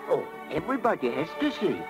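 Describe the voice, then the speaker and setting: A man playing a doting, excitable old woodcarver in a vintage animated film, flustered, repetitive and tender. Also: fairly high pitch, fast. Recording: muffled vintage film soundtrack, music behind.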